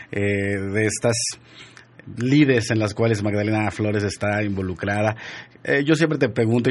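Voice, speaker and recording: Jovial low-voiced radio host. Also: male voice, a little mellow. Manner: jovial, chatty, teasing guests. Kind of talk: casual radio interview.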